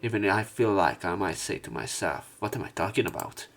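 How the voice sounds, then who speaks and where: A male let's play narrator with a fairly low voice. He reads the text of a visual novel aloud, line by line, as a story. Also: very animated, a little fast, fairly bright tone, soft background music.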